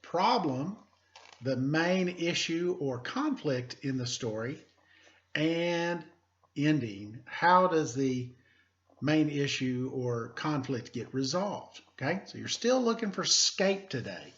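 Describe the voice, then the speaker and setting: A man speaking as an elementary teacher, reading aloud to pupils with deliberate emphasis and informal asides. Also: on the high side, lively intonation, fairly bright tone, highs cut off. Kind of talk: read-aloud lesson with casual asides.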